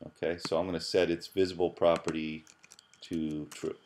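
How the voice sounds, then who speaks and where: A man's voice: low-pitched, little pitch movement, moderate pace, noisy background. A middle-aged man, a professor, narrating a screencast tutorial in a casual, thinking-aloud way with fillers and repeated words. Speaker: professor teaching app programming.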